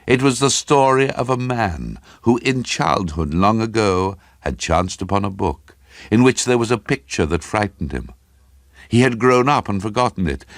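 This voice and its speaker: A man reading a horror story for radio drama in a grave, suspenseful manner with deliberate, dramatic phrasing. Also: lowish voice, dry recording, clean studio sound.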